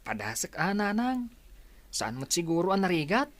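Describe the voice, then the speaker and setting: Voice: medium pitch, sing-song, normal speed, dry recording, lightly acted. An actor in an Ilocano radio drama playing a dutiful, determined young student who speaks earnestly and reassuringly to a parent.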